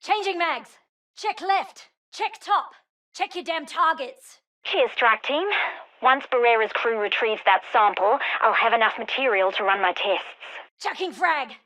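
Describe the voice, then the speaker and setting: An actress playing a brilliant, wry and determined scientist in a video game, speaking articulately in scripted lines and journals. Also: female voice, sits high, expressive, measured pace, sharp tone, dry recording, full character voice. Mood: combat shouting, then urgent, businesslike. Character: brilliant wry female scientist, guilt-ridden, urgent and determined. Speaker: scientist character.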